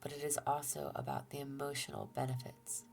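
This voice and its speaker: Low, gravelly woman with a stuffy nose. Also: little pitch movement, a little fast, soft background music. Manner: soft-spoken, often drops to a near-whisper; gentle and reassuring, with fillers and restarts. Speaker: tarot reader. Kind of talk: relaxed tarot reading to viewers.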